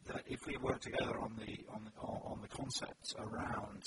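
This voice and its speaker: A man's voice: lowish voice, monotone, normal speed. A British man answering interview questions as a lawmaker, hesitant, with many restarts and fillers. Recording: loud background noise.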